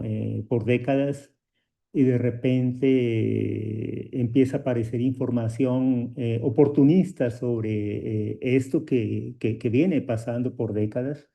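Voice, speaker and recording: A man giving an unscripted academic talk over a video call, slow and hesitant with many filler sounds, a native Portuguese speaker. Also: medium pitch, gravelly, dull audio. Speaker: guest speaker at a colloquium.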